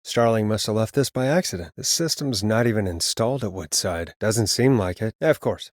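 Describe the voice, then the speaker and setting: A man's voice: on the low side, expressive, normal speed, no room sound, clean studio sound, close to an everyday voice. An actor playing a wry radio DJ in a video game, a man who talks in a conversational way. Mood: matter-of-fact. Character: Forrest Nash, wry late-night radio DJ, a grown man. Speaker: radio host.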